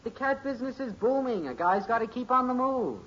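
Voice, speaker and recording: Eager, bright-voiced teenage boy. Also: extremely high pitch, fairly quick, full character voice. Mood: confident. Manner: earnest, excitable, slangy. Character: eager, naive, stubbornly optimistic teenage son. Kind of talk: 1950s radio sitcom acting. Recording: muffled, hissy old radio recording.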